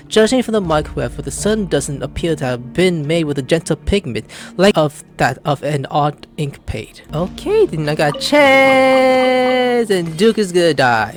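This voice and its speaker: A man hosting a gaming video with casual, slangy, exclamatory commentary, stumbling a little when he reads aloud. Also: fairly high pitch. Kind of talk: casual gameplay commentary with read-outs. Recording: faint game music behind the voice.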